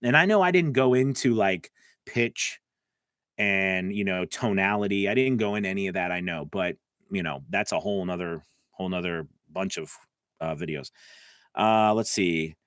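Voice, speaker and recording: A man's voice: sits low, normal speed, a bit bright, smooth, dry recording. A man coaching voice actors on a live stream, answering viewer comments in a casual, chatty way from his home studio. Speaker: voice-over coach.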